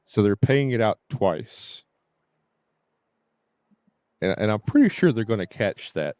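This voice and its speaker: Low-voiced man rambling on a livestream. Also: sing-song, measured pace, a little mellow, dry recording. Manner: informal, mildly cursing, repetitive "you know". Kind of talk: casual rambling livestream chat. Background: faint game music and dialogue.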